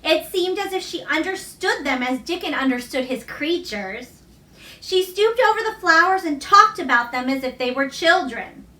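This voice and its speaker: A woman reading a children's novel aloud as expressive storybook narration. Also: sits high, moderate pace. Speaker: storybook reader. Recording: roomy echo, distant microphone.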